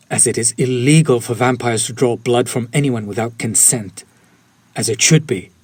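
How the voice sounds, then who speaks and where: A man's voice: mid-range voice, darkish tone, no room sound, lightly acted. A man playing a courteous, lovesick, centuries-old vampire in an intimate audio roleplay, with formal, old-fashioned and pleading speech. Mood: apologetic, formal. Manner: formal, archaic, ornate, apologetic phrasing. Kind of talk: scripted romantic audio roleplay, intimate direct address.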